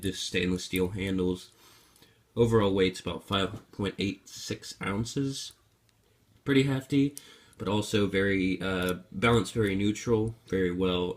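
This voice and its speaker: A young man with a sore throat from being sick, casually unboxing a knife at a table and grumbling and swearing as he goes. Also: fairly low pitch. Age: young adult. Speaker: vlogger. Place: indoor room at a table.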